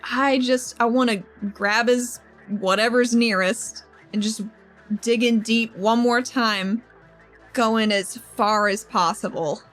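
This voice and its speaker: A woman's voice: on the high side, fairly even intonation, takes it slowly, a bit bright, soft background music, low-quality recording. A woman chatting casually as a player in a tabletop roleplaying game, informal and sweary.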